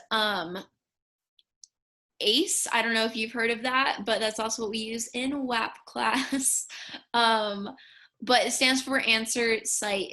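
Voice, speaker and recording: A woman's voice: fairly high pitch, pushed and bright. A young woman giving an informal slideshow lesson, explaining things in a casual, slightly tentative way. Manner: casual, tentative, hedging with "kinda" and "I guess". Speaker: speech and debate coach or student instructor.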